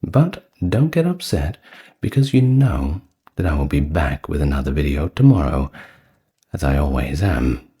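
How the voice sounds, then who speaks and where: A man hosting and narrating in an ASMR storytelling style, with a measured delivery. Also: rumbling low, very animated, dark tone. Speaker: host, narrator of a history and mythology channel.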